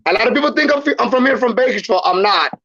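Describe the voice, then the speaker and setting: A man's voice: very high-pitched, expressive, very fast, sharp tone. A man from El Salvador, a podcast guest telling his life story casually, using street slang and repeating phrases. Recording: muffled lo-fi sound.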